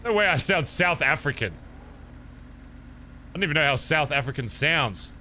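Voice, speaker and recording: A man's voice: fairly high pitch, expressive, unhurried, pushed and bright, a little hiss or hum. A young man streaming a roleplay game, rambling casually and swearing often. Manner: swears a lot, laid-back and rambling. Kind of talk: casual roleplay chat while gaming.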